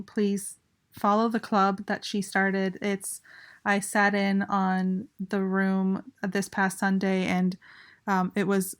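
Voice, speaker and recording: A woman hosting an online audio chat, measured and friendly, with fillers. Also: medium pitch. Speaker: host.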